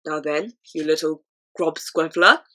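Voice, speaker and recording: A woman voicing a huge, bullying male giant who booms his words in broken grammar. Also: measured pace, pushed and bright. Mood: menacing. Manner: booming, bullying, ungrammatical. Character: huge brutish male man-eating giant.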